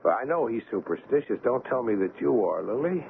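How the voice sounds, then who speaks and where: An actor playing a calm, reassuring ship's doctor in an old-time radio drama, speaking in a measured, courteous way. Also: male voice, medium pitch, creaky. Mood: dismissive, calm. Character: calm, reassuring male ship's doctor recounting his story. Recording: tinny old radio broadcast, faint noise.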